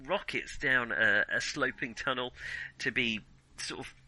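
A man's voice: medium pitch, unhurried, pushed and bright, no echo, low-quality recording. A man co-hosting a casual film chat, often hesitating as he searches for words. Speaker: podcast co-host.

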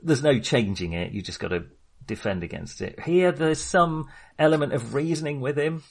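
A man with a mid-pitched voice talks through his opinions as a podcast co-host. His delivery is casual and chatty, working out an analysis as he goes, like one half of an informal film discussion. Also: moderate pace, creaky, breathy edge. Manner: thinking aloud, informal and chatty.

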